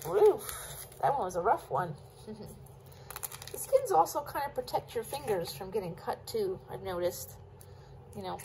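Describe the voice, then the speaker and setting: A woman's voice: medium pitch, big pitch swings, unhurried, darkish tone, slight background noise. A woman chatting casually with her viewers while she chops vegetables at her kitchen counter. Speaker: cooking vlogger. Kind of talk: casual cook-along chat.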